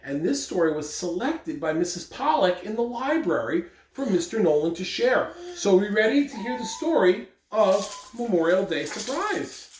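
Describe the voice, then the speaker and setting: An American man speaks as a school principal addressing pupils, upbeat and deliberate with emphatic phrasing. His pitch moves around in a lively way. He sounds set back from the microphone in an echoey room with some background noise. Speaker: school principal reading announcements. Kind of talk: morning announcements and children's read-aloud. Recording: roomy, distant mic with background noise.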